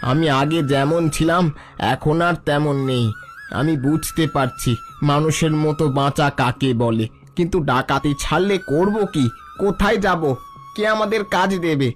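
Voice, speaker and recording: A man's voice: a little high, normal speed, a little hiss or hum, lightly acted. An actor playing a loyal, humble bandit henchman in a cartoon, with an earnest and respectful manner. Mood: unsure, earnest. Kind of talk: Bengali cartoon story dialogue.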